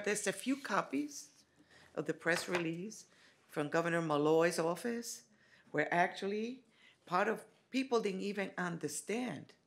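Gravelly-voiced woman, halting speech. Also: on the low side. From Dominican Republic. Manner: halting, with many fillers and restarts. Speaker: college president. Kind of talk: forum address. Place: large college meeting room.

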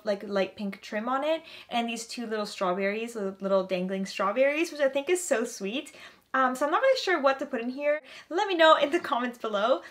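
A woman's voice: fairly high pitch, a bit bright. A young woman chatting casually to camera in a gushing, enthusiastic way, her voice slightly strained. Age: young adult. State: slightly strained voice, throat-clearing. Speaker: vlogger showing a vintage clothing haul. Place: small furnished bedroom, indoors.

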